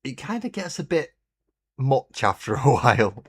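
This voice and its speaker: A man hosting a relaxed hobby livestream, chatting in a rambling way and greeting viewers while he paints. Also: mid-range voice, unhurried, breathy edge. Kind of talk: casual chat while painting. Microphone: desk mic on a webcam stream.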